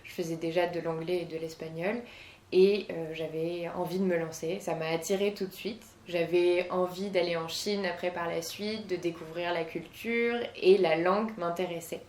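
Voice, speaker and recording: A woman's voice: lowish voice, steady tone, normal speed, darkish tone, reverberant, a little hiss or hum. A woman in her twenties from southern France, answering interview questions as a guest with many hesitations. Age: 20s.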